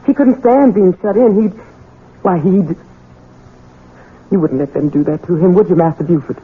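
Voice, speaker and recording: Husky, anxious housekeeper. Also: female voice, on the low side, normal speed. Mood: anxious, distressed. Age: middle-aged. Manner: humble, folksy. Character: anxious, deferential older housekeeper, protective mother. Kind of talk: 1970s radio drama acting. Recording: tinny 1970s radio recording.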